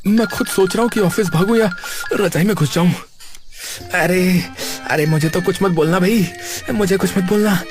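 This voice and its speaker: A man's voice: sits high, moderate pace, music in the background, lightly acted. An actor voicing an easygoing, joking young man in a cartoon, talking in a casual, colloquial way. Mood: lazy, joking. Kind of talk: cartoon character acting.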